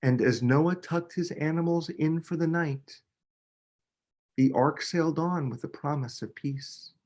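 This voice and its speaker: A man, a children's book author, reading a picture book aloud with expressive, dramatic emphasis. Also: fairly high pitch, a little slow. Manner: expressive, dramatic delivery with emphasis on key words.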